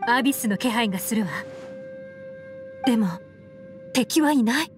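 A woman voicing a composed, shrewd adult investigator in an anime game, explaining in a cool, measured, analytical way. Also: fairly high pitch, lively intonation. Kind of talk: Japanese anime game voice acting. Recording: music under the voice.

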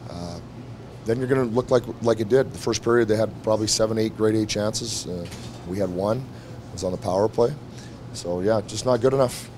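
A man's voice: fairly low pitch, brisk, darkish tone, a little hiss or hum. A middle-aged man, a head coach, answering reporters bluntly after a game, repeating his points. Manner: blunt, frank, repeats himself. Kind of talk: postgame press conference. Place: arena press room before a team backdrop. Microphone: several handheld mics held close.

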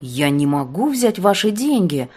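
A woman's voice: on the low side, sing-song, measured pace, darkish tone, husky, full character voice. A woman voicing a proud young waitress in an audiobook, blunt, sarcastic and defiant. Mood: firm.

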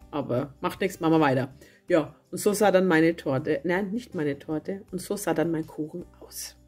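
A woman with a cold and a hoarse voice, chatting casually as she narrates a home baking video and correcting herself as she goes. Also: on the low side. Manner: chatty, self-correcting, homely. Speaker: cooking channel host. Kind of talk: casual recipe voice-over. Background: soft guitar music.

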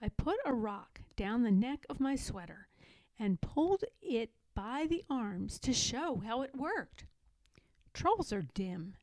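A woman narrates a children's picture book with lots of expression. Her voice is on the high side and swoops widely, while her pace stays slow, with dramatic weight on key words. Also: darkish tone, no echo, poor audio. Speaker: storybook reader.

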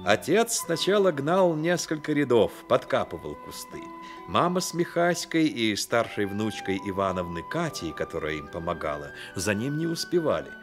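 A man narrating a Soviet radio play as a grave, measured storyteller. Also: fairly low pitch, sing-song, music in the background. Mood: grave, lyrical. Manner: measured, literary delivery. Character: grave male storyteller of a childhood tale.

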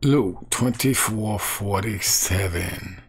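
A middle-aged man with a low, gravelly and husky voice reads scripture and talks it through, slowly and with care. He often repeats a phrase, sometimes trailing off into a musing murmur. He records himself on a desk camera in a small room at home. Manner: slow, deliberate, repeats key phrases, quiet and musing. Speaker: street evangelist. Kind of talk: Bible teaching to camera.

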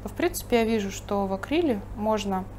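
Lady painter explaining as she goes, calm, noticeable hiss behind her. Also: medium pitch, fast. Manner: thinking aloud while painting, calm and explanatory. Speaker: painting instructor. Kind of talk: painting demonstration with commentary. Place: studio table, overhead camera on the painting.

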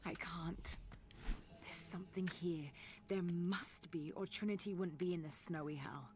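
A very low-voiced, determined young female adventurer , played by an actress, speaks in dramatic video game monologue. She sounds solitary and set on her path. Music plays clearly behind her. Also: a little slow, close to an everyday voice. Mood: brooding, resolute.

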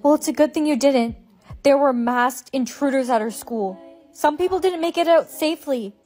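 An actress plays a high-voiced, caring woman in a short melodrama skit. Her delivery is expressive and dramatic, with faint music behind it. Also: normal speed, close to an everyday voice. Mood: concerned. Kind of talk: acted short melodrama skits.

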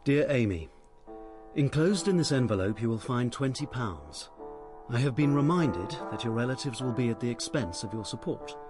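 A man's voice: medium pitch, animated, moderate pace, close to an everyday voice. Man acting a proud, bitter English writer, music behind. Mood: cold, formal. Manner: formal, stiff, wounded dignity. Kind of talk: period radio drama acting.

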